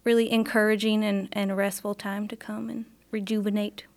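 A woman, a missionary's wife, speaking softly and reflectively in an interview. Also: medium pitch, little pitch movement, brisk. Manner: soft, reflective, gentle. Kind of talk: interview conversation.

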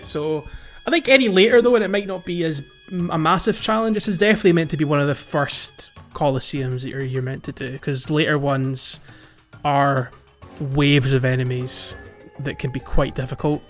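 A man with a fairly high voice hosts a casual gaming podcast. He talks through gameplay in a chatty, informal storytelling way, with music playing behind him. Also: moderate pace. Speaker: podcast host. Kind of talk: casual gaming playthrough commentary.

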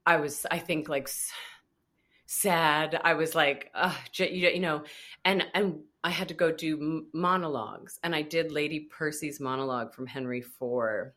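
A woman's voice: low voice, steady tone, normal speed, fairly bright tone. A woman in her thirties, a guest actor, telling a story in a casual interview and acting out her own past thoughts.

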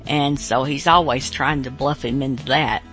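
A woman hosting a radio show, introducing it in a casual, halting, unscripted way. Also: a bass voice, fairly bright tone, soft background music. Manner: halting, informal.

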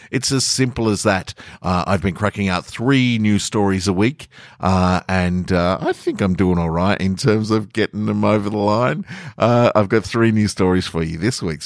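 Very deep-voiced man hosting a podcast. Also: dry recording, studio quality. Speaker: podcast host and fiction writer reading own stories. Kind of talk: chatty intro and dramatic first-person story narration.

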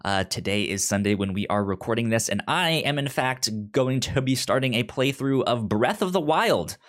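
A man's voice: medium pitch, animated, normal speed, a bit bright. A man hosting a podcast in a casual, chatty way, often stumbling over and repeating his words. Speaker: podcast host.

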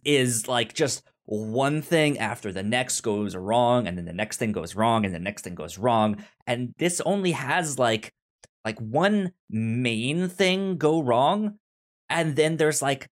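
A podcast host with a halting, stammering delivery, chatting casually about a film. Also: mid-range voice. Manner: halting, stammering with repeated syllables. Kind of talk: casual movie discussion podcast.

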